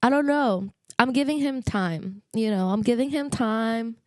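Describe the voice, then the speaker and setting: A woman's voice: fairly high pitch, a little slow, no room sound, clean studio sound. A young woman from Brazil, chatting casually and rambling through a storytime vlog, self-mocking and jumping between tangents. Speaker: vlogger. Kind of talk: casual storytime chat to camera.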